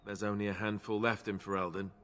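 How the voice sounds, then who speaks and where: Guy playing a young knight type in a video game, earnest n modest, lowish crisp voice, big reverb. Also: level tone, fast, fairly bright tone, lightly acted. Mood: candid, modest. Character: young male warrior of a knightly order, earnest, self-deprecating.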